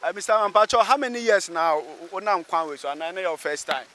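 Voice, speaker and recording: A man's voice: sits high, lively intonation, fast talker, bright, forceful tone. A man with a Ghanaian accent reporting from the roadside and interviewing people as a news reporter. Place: outdoors at a busy roadside underpass.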